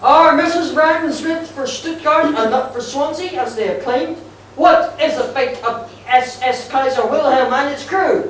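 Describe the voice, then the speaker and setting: A woman's voice: high voice, normal speed. A woman narrating a scripted radio play live, in a theatrical manner. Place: indoors, live convention performance. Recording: distant, very echoey hall, faint noise.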